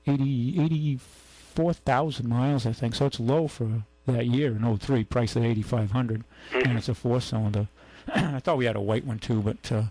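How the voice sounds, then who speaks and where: A man with a New England accent rambles through a casual radio chat about used cars. His voice is gravelly, and he keeps circling back with fillers and restarts. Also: mid-range voice, steady tone, normal speed, hardly any pauses, dark tone, dry recording, poor audio. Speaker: radio show host, car dealer.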